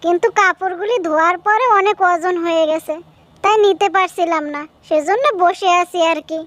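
A woman plays a dutiful, protective elder daughter-in-law in a family cartoon. Her voice is very high, bright and close-dry, with a polite, placating manner. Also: fairly even intonation, moderate pace, no room sound. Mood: polite, hesitant. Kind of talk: cartoon dub acting.

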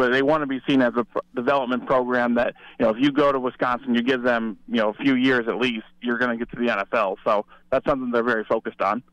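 A man's voice: medium pitch, fairly bright tone, no room sound. Man, guest sports analyst, mid-range voice, conversational, slightly muffled call audio. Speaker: sports reporter, show guest.

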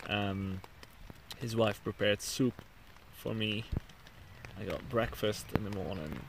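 Slow, gravelly young Belgian man. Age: young adult. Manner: low-key, halting. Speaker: hiker vlogger. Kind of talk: casual vlog recap to camera. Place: inside a small tent outdoors. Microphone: camera or phone at arm's length. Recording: clearly noisy small-camera recording.

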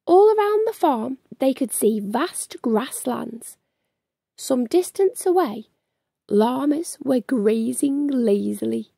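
A middle-aged woman hosting a children's storytime, reading aloud in an expressive, sing-song way. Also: sits high, takes it slowly, creaky, husky, no room sound, clean studio sound. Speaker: children's storytime host and reader. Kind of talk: animated read-aloud for young children. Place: small furnished room at home.

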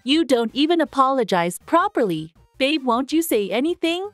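Woman doing bratty easily offended 16yo girl, online drama, high animated voice, music low behind. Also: normal speed, dry recording. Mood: snide, offended. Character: snappy, scornful sixteen-year-old girlfriend, easily offended. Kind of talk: dramatised online roleplay story.

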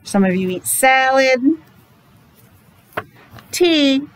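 A middle-aged woman teaching young children in simple, deliberate phrases with chatty asides, speaking through a face mask. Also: high-pitched, animated, a little hiss or hum, low-quality recording. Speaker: preschool teacher. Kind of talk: children's classroom lesson. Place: small indoor classroom.

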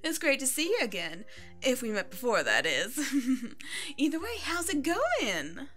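A woman's voice: high-pitched, huge pitch swings, measured pace, pushed and bright, heavily acted. A woman playing a chatty, caring, playful hairstylist who comforts a client in an ASMR roleplay. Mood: cheerful, welcoming. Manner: chatty, bubbly, reassuring. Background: background music.

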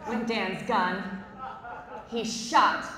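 A woman's voice: mid-range voice, extremely slow. A woman in her thirties improvising a comic story live on a theatre stage. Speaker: improv comedy performer. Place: theatre stage before an audience. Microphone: handheld stage microphone. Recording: echoey hall, distant, crowd noise.

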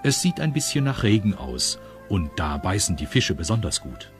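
A man's voice: low voice, very animated, moderate pace, over music. A man narrating a scripted children's story, confiding in his young listeners. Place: studio.

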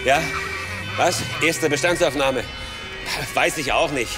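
A harried, wry police investigator in a radio crime play. His voice is a little high and his talk is colloquial and dry. Music plays clearly behind him. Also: slow. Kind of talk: naturalistic German radio crime drama acting.

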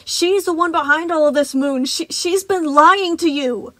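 A woman voicing a big male animatronic bear who is protective and desperate, pleading and yelling to be heard. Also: high-pitched, a little slow, dry recording, pitched above the performer's own voice.

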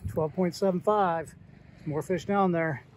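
High-voiced man narrating from his kayak. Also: fairly even intonation, a little slow. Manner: low-key, talking to himself between catches. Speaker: kayak angler. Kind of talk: casual self-filmed commentary. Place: outdoors on a kayak on a lake. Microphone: action camera mounted on the boat. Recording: outdoor wind and water noise.

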